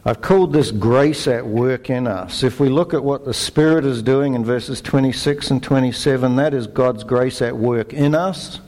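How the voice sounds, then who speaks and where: A middle-aged Australian man giving a conversational sermon, relaxed and self-deprecating, with fillers and restarts. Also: medium pitch, moderate pace, hardly any pauses. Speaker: preacher.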